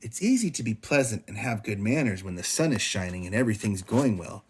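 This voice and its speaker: A man's voice: fairly low pitch, very animated, brisk, breathy edge. A man voicing a stern, dismayed mother bear who scolds her cubs firmly in a storybook. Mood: firm, lecturing. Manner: firm, scolding.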